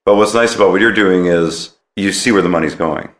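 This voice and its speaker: Male podcast host, low voice, conversational interviewing, recorded in an echoey room. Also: fairly quick. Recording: roomy, echoey sound.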